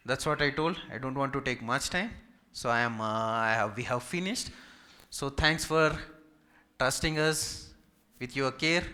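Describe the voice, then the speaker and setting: A man's voice: mid-range voice, sharp tone. A man from Hyderabad with a Telugu Indian accent, a native Telugu speaker, giving an informal medical talk as a physiotherapist, polite, repetitive and emphatic when listing. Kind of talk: informal medical presentation with audience questions.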